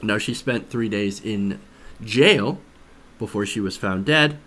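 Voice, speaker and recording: A man's voice: lowish voice, slow pace, fairly bright tone. A man giving conversational news commentary as a host, skeptical and emphatic, often posing rhetorical questions. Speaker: host of a political commentary show.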